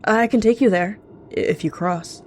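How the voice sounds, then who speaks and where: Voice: mid-range voice, moderate pace, a little mellow, slight background noise, voice pushed up, natural voice. A performer voicing an evil, scheming rogue cat in a roleplay, with feigned cheer that covers bitter anger. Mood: falsely chipper. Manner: falsely chipper, growling when vexed.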